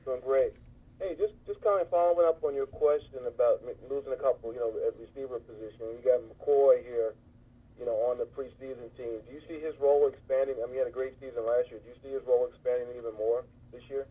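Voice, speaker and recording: A man's voice: medium pitch, steady tone, fast, slightly rough. An American man, a newspaper reporter, asking questions on a conference call over a tinny telephone line.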